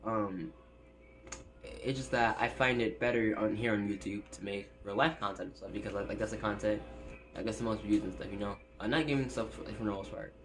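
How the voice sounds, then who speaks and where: A man's voice: lowish voice, a little fast, soft background music. A seventeen-year-old guy who stutters, chatting casually on his vlog from his bedroom, rambling with lots of fillers and restarts, recorded on a phone. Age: teens. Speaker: YouTuber. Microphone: phone set up in room.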